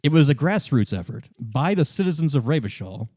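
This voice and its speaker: Composed, worldly company woman, acted out by a man for tabletop roleplay; halting explanations, weary air, dry sound. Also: mid-range voice, very animated, mellow, no echo. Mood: explanatory. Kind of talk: in-character tabletop roleplay exposition.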